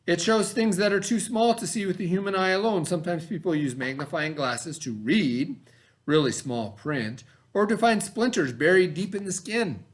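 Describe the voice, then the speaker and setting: A man with a fairly high voice reads aloud in a lesson for children. His intonation is very lively, rising and dropping widely. He stresses key terms, repeating them and adding simple explanations as a teacher would. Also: moderate pace. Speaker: teacher reading aloud to students. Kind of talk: expressive educational read-aloud with explanatory asides.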